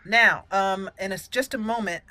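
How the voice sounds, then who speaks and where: A woman's voice: medium pitch, normal speed, sharp tone, a little hiss or hum. A woman giving casual, chatty video game commentary, talking through each step as she plays. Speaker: video game let's play host.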